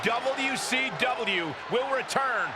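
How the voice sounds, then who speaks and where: A man's voice: very high voice, expressive, takes it slowly, heavily acted. A man playing a dramatic ringside wrestling commentator in a video game. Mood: dramatic, urgent. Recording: loud arena noise, slams.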